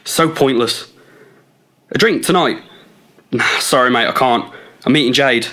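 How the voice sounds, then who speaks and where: An actor as a jealous young boyfriend, forceful and bright. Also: male voice, mid-range voice, measured pace. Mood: casual. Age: young adult. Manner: accusing, insistent. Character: controlling, jealous young boyfriend, self-justifying and quick-tempered. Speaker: student actor. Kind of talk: naturalistic radio drama acting.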